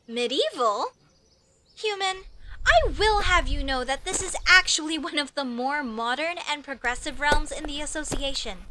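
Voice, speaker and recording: A woman's voice: high-pitched, noisy background. A woman playing an earnest, proud young female elf mage who speaks expressively and intimately to the listener in an audio roleplay. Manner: expressive, chatty, mock-indignant at times, softening to sincere.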